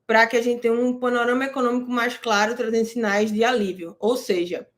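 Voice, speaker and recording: A woman with a somewhat high voice gives an easygoing market analysis as the host of her own channel. She breaks down financial jargon in plain terms. She keeps checking in with her listeners as she goes. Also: fairly even intonation, fairly quick. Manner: conversational, checks in with listeners often; explains terms plainly for beginners. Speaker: crypto market commentator. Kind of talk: casual market analysis to camera.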